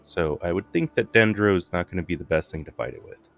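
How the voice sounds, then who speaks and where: A man's voice: sits low, expressive, fast talker, gravelly, a bit bright, faint music behind. A man giving casual gameplay commentary as a streamer, muttering and thinking aloud. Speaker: game streamer.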